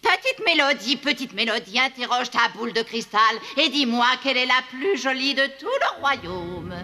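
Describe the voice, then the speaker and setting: A woman's voice: sits high, very animated, moderate pace, bright, forceful tone, heavily acted. An actress playing a vain, selfish, wicked cartoon queen, imperious and theatrical. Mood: gloating, scheming. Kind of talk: cartoon dub acting.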